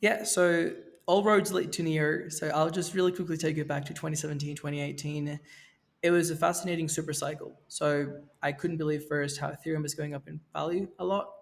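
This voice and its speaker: A man in his thirties from Venezuela, a podcast guest speaking in a relaxed way, with fillers and restarts. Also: on the high side, brisk, vocal fry. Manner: relaxed, with fillers and self-corrections. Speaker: guest, NFT project co-creator. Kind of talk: casual podcast interview. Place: Mexico, where he is now.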